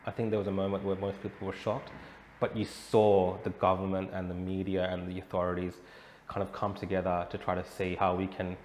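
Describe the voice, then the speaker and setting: A man's voice: low-pitched, flat delivery, a little fast, darkish tone. Man, Australian accent, conversational interview guest, roomy echo, noisy background. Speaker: interview guest, protest reporter.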